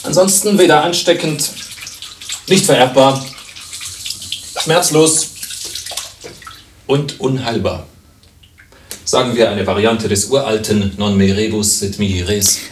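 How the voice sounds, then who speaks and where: An actor playing a pompous, absurdly learned doctor in a satirical radio play, pedantic and deadpan. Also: male voice, mid-range voice, takes it slowly, long pauses, noisy background, lightly acted. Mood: deadpan advising. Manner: pedantic, deadpan, Latin-laced pronouncements.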